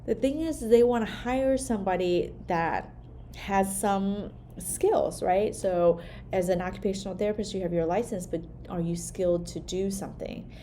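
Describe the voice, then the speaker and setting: A woman's voice: medium pitch, moderate pace, mellow, slight background noise. A woman whose first language is Vietnamese, a hand therapist giving a casual teaching talk, peppered with "like" and "right?". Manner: conversational, frequent "like" and "right?" tags.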